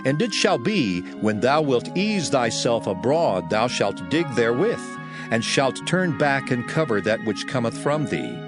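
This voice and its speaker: Male, audio Bible narrator, solemn, declamatory, highly animated intonation, mid-pitched, clear music bed. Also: measured pace. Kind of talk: dramatized scripture reading.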